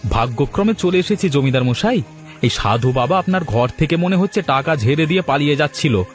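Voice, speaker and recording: An actor voicing an excitable, humble village helper who talks hesitantly in a cartoon story. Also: male voice, on the high side, fairly quick, lightly acted. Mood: suspicious, excited. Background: background music.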